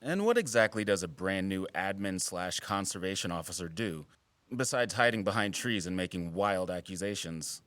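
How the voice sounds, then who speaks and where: An actor playing a wry, guarded wildlife biologist in an audio drama, with teasing, dry sarcasm and an earnest streak. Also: male voice, low voice, expressive, moderate pace, a bit bright, no echo. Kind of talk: naturalistic audio drama acting.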